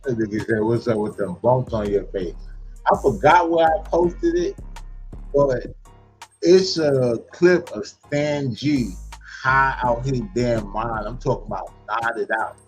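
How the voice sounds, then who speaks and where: Guy reacting to a clip, swears a lot, slangy, medium pitch, faint music. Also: a little slow, low-quality recording. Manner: slangy, profane. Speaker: reaction show host. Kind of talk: casual commentary on a played clip.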